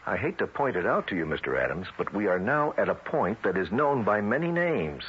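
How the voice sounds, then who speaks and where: An actor playing a streetwise, grimly vengeful federal agent in 1970s radio drama, with tough, clipped, colloquial delivery. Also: male voice, fairly low pitch, very animated, fairly quick, husky, heavily acted. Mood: firm, persuasive. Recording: muffled vintage radio recording, faint hiss.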